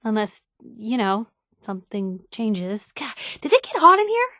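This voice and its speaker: Young female game companion, feisty reporter, higher voice, sardonic, guarded yet loyal, emphatic, close dry sound. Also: sing-song, a little slow, sharp tone, no echo, exaggerated voice. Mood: flustered. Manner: sardonic, emphatic, hesitant when vulnerable. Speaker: video game companion character. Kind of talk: naturalistic game dialogue acting.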